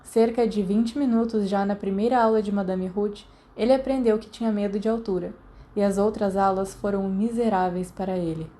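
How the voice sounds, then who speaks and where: A woman's voice: mid-range voice, fairly even intonation, normal speed, warm tone. A woman with a Brazilian accent reading scripted audiobook narration. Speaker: podfic narrator.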